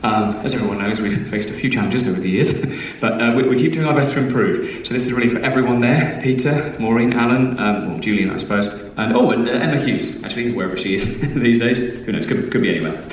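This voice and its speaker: A man's voice: medium pitch, fast talker, slight background noise, close to an everyday voice. An actor playing an awkward, flustered, apologetic male museum worker in naturalistic radio sitcom style, stammering as he talks. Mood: awkward, placating. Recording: very echoey hall, distant, music behind.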